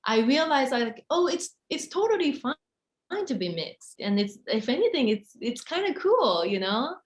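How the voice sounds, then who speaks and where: Woman in her thirties, soft-spoken, halting with many fillers, roomy echo. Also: high voice, very animated. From Japan. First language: Japanese. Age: 30s. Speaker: interviewee, model and author. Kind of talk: casual interview answers.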